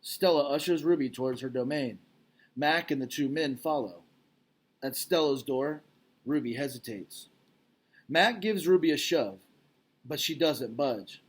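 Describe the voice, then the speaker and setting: A man's voice: mid-range voice, a little slow, long pauses, slightly rough. A man reading a children's storybook aloud as narrator. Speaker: read-aloud reader.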